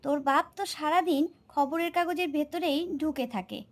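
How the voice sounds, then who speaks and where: A woman plays a weary cartoon housewife and mother. Her voice is high, and she scolds and nags in a bossy way. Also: normal speed. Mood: nagging. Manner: nagging, bossy. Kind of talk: cartoon voice acting.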